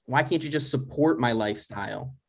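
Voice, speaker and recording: A man playing an anxious, needy adult son in an informal comedy table read, speaking in an earnest, halting, exasperated way. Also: medium pitch, vocal fry. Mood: pleading. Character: anxious, needy adult son seeking his dad's approval. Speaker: writer playing himself and reading stage directions.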